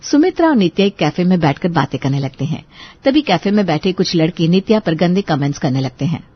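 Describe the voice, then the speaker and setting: A woman's voice: fairly low pitch, sing-song, brisk, breathy edge, no echo, lo-fi sound. A woman narrating a scripted story expressively.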